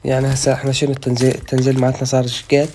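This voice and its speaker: A man's voice: mid-range voice, completely flat delivery, racing, dark tone, a little hiss or hum. A young man with an Iraqi Arabic accent, hosting a gaming channel and chatting casually while a little sick. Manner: chatty, loose, thinking aloud. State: slightly sick. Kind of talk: casual gameplay commentary.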